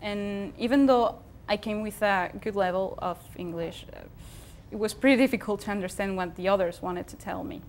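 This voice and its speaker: A woman's voice: medium pitch, moderate pace, slight background noise. A young woman from Venezuela, co-hosting a casual TV studio chat, often laughing and restarting her sentences. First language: Spanish. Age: young adult. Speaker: co-host. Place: small TV studio.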